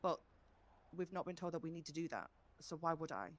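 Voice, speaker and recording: A woman's voice: low voice, expressive, brisk. A proud, hardworking business manager in an online role-play game as acted out by a woman. She is earnest and courteous. She stands her ground insistently while staying polite. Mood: passionate, defensive. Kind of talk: improvised in-character role-play conversation.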